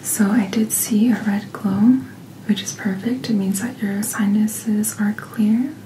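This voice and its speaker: A woman speaking in a soft, breathy whisper, gently and reassuringly playing a doctor in an ASMR roleplay, close to the microphone. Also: mid-range voice, steady tone, slow pace, a little hiss or hum, rough recording. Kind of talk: soft-spoken ASMR medical checkup roleplay.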